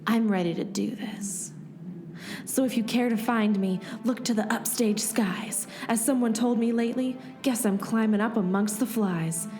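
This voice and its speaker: A woman's voice: on the high side, moderate pace, darkish tone. Earnest woman storyteller over music. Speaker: podcast host and narrator. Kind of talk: scripted comic radio play acting and narration. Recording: music bed underneath.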